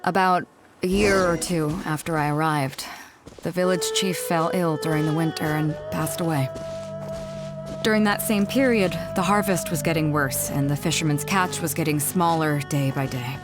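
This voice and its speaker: An actress playing a confident, playful yet reflective woman sea captain in video game dialogue, with a self-assured, measured way of speaking. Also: low voice, close to an everyday voice. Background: music.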